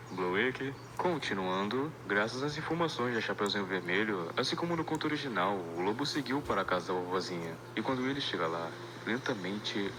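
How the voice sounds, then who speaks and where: A Brazilian man with a fairly low voice narrates and comments on a story. He talks colloquially, slipping in crude jokes, and there is clear noise behind him. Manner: colloquial, crude joking asides. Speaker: video narrator.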